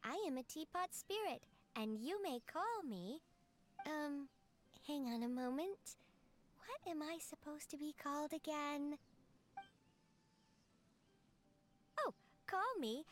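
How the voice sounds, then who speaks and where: High-voiced, prim bird spirit butler. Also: female voice, animated, a little slow. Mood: prim, flustered. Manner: formal, prim, precise butler-like phrasing. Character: plump female bird teapot spirit, prim dignified butler. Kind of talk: scripted video game dialogue acting.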